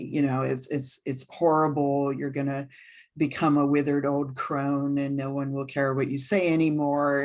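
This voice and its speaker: A woman in her fifties from California talks about her book on a video call from a small room at home, relaxed and chatty, with frequent fillers. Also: rumbling low. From Oakland, California. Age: 50s. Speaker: author. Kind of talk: casual talk on a video call.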